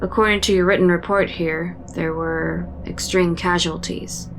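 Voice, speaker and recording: Military interrogator, played by a woman, slightly low pitch, controlled, formal, sci-fi audio drama. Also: steady tone, moderate pace, a little hiss or hum, natural voice, rough recording. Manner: controlled, official. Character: cool, formal military investigator questioning a soldier.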